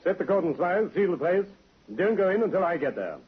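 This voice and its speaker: A stern police inspector gives clipped orders in a vintage radio crime play. His voice is very raspy and moves animatedly through its range, and the sound is thin and tinny like an old broadcast. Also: high-pitched, lively intonation, fairly quick, heavily acted. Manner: clipped, authoritative. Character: stern male Scotland Yard inspector, skeptical. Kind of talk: 1950s radio drama acting.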